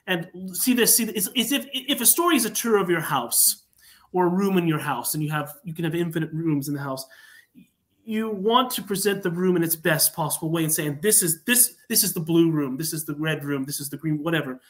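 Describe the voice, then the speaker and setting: Higher-pitched man, discursive podcast guest. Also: a little fast, a bit bright. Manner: discursive, rephrases and elaborates. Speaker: guest, fan audio drama writer. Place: remote online video call.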